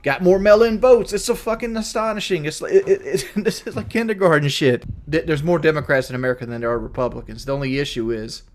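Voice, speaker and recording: A man's voice: on the high side, quick pace, few pauses. A man in his thirties ranting about politics on stream, emphatic and profane, with lots of restarts. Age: 30s. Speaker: streamer in a clipped video. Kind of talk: heated political rant.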